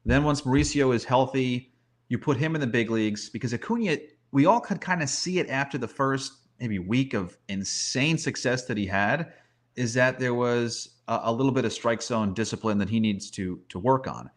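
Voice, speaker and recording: A man's voice: mid-range voice, moderate pace. Man talking baseball, stammering as he thinks. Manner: conversational, stammers and repeats words as he thinks aloud. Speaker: baseball commentator, guest analyst. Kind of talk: casual sports talk, off-the-cuff analysis.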